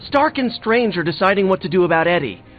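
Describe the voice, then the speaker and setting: An actor playing an earnest, anxious young superhero in a video game, talking in a worried, conversational way. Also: male voice, sits high, expressive, fast talker. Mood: frustrated, worried. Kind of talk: video game character dialogue. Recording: narrow phone-like game audio, faint music.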